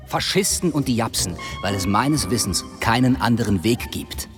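An actor playing a hard, resolute army sergeant, speaking gravely and deliberately in a radio drama. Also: male voice, medium pitch, animated, music in the background, close to an everyday voice. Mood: grim resolve.

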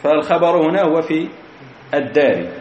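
A man's voice: a little high, animated, moderate pace, a little mellow. Male classroom teacher, patient and methodical, recorded in a roomy hall with faint hiss. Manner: methodical, repeats key phrases, quizzes students. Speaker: grammar teacher. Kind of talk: classroom grammar lecture. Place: lecture hall. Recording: echoey hall, dull lo-fi recording.

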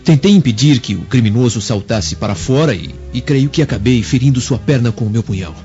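A man's voice: mid-range voice, fairly quick, dark tone, natural voice. Man playing a cunning young slave, muffled recording. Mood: deferential. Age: young adult. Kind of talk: radio drama acting. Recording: muffled audio under background music.

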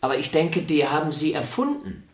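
An actor playing an otherworldly, earnest violin virtuoso in a radio play, speaking with precious, formal politeness. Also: male voice, on the high side, very animated, fairly quick, echoey room, slight background noise. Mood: puzzled, polite.

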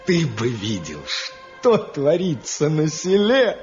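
Elderly village father, slow exclamatory delivery, gossipy, muffled old radio play, faint music. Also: a little high, sing-song, a little mellow. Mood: excited. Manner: repeats words for emphasis, exclamatory. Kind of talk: Soviet radio drama acting.

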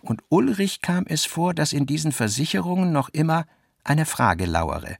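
A man narrating a literary radio drama, delivering long scripted sentences in a precise, measured way. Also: fairly high pitch, very animated, creaky, dry recording, clean studio sound. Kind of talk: literary scripted narration, voicing reported dialogue.

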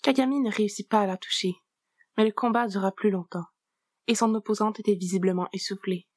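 A woman narrating a fan story in a calm, even storytelling manner. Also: medium pitch, a little fast, no room sound, clean studio sound. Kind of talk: reading a fan story aloud.

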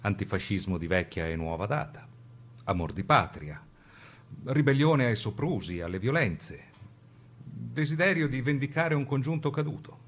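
A man narrating a scripted radio documentary-drama with formal, deliberate phrasing. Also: mid-range voice, sing-song, slight background noise.

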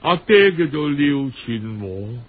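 A man acts out a frail, tearful young Cantonese woman in a storybook drama. The delivery is weak, slow and humble, with hesitant sighs. The sound is muffled and radio-like, and the voice husky. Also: a little high, big pitch swings, pitched below the performer's own voice, exaggerated voice. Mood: sad, weak. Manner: weak, apologetic, sighing, hesitant.

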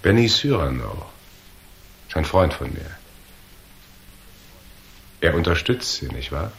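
A man's voice: a bass voice, big pitch swings, takes it slowly, creaky, slight background noise, exaggerated voice. A male actor playing a cool, tough, confident hero in a radio crime drama, speaking dryly and unflustered. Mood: cool, probing. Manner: dry, unflustered, hard-boiled.

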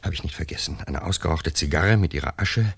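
An actor playing a wary, methodical lover plotting a murder in a German radio crime drama. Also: male voice, rumbling low, brisk, vocal fry, husky, no room sound, exaggerated voice. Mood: methodical, tense. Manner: cautious, methodical.

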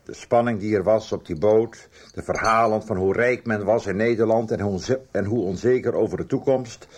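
An actor playing an older book publisher who haltingly and deliberately recounts a guilty past in a radio drama. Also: male voice, on the low side, a little mellow, breathy edge. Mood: reflective, confessional. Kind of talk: radio drama dialogue, reminiscing.

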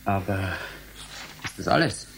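A man in a German radio drama playing a cautious, sceptical young scientist, measured and questioning, with a husky voice. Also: low-pitched, expressive, fairly bright tone, slight background noise, exaggerated voice. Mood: curious, puzzled. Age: young adult.

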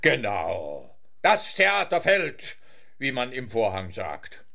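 An actor playing a smug, bumbling police officer in a comic radio play, speaking in a theatrical, officious way. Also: male voice, mid-range voice, huge pitch swings, slow, creaky, pushed and bright, full character voice. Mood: officious, triumphant. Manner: theatrical, officious, muddles his sayings.

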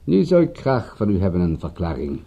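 A man playing a courteous French police inspector in a radio drama, with a French accent and halting, polite speech. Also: low-pitched, wildly swooping pitch, gravelly, mellow, breathy edge, highs cut off, exaggerated voice. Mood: polite, firm. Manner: halting, polite speech with inverted word order.

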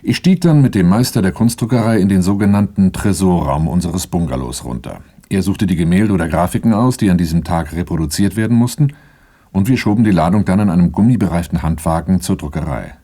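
A man's voice: low voice, brisk, dark tone. An actor playing a sober, dutiful bodyguard who tells his own story dryly in a radio crime play. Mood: matter-of-fact. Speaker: narrator. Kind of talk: first-person narration in a radio crime play.

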